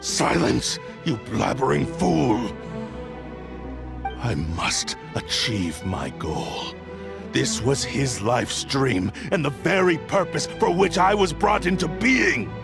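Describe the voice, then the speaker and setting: A man's voice: fairly high pitch, heavily acted. An actor voicing a proud, obsessive male cursed blade spirit in a video game, speaking coldly and menacingly with flashes of anger. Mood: resolute. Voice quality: gravelly. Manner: cold, slow and menacing, with bursts of anger.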